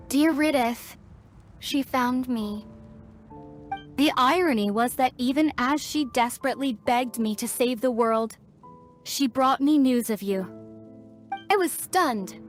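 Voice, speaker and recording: A woman playing a solemn, resolute young princess in a video game, speaking earnestly and formally as if burdened by old guilt. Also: high voice. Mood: sorrowful, resolute. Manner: earnest, formal storytelling delivery. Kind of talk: dramatic video game dialogue. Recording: studio voice over background music.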